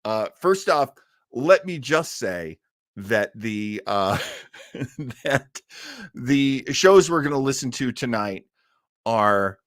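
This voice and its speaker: A man's voice: medium pitch, expressive, slow, long pauses, dry recording, clean studio sound. A man hosting a live stream about old-time radio, chatting in a rambling, enthusiastic, theatrical way. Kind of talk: casual live stream chat.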